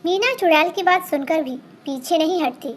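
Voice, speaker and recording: A woman's voice: very high voice, normal speed, a little hiss or hum. A woman reading a scripted fairy tale for children as a storyteller.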